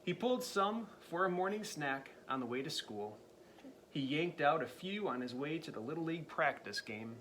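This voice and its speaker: A man reading a spooky picture book aloud to a child, his voice swooping wide and lively and a bright, forceful edge to it. He is playful and dramatic, sliding into hushed whispers for the creepy bits, and a faint hiss of noise sits under him. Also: fairly high pitch, normal speed. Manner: dramatic, drops to spooky whispers and jumps to loud cries; playful asides to the listening child. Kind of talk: storybook read-aloud.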